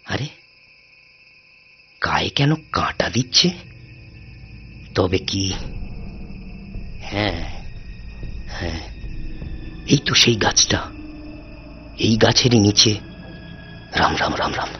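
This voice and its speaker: A man's voice: low voice, lively intonation, takes it slowly, long pauses, pushed and bright, full character voice. A man voicing a sly, cowardly thief in a cartoon, melodramatic, given to muttering and wailing. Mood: spooked. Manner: muttering, then wailing and pleading. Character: sly, cowardly male thief, easily terrified. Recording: dull, band-limited sound with music behind.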